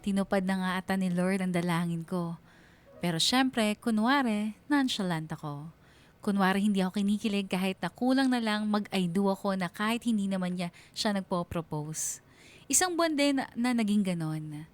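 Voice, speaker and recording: A woman's voice: mid-range voice, lively intonation, moderate pace, natural voice. A woman playing a lovestruck, playful young woman narrating her own story in a radio drama, confiding and giddy.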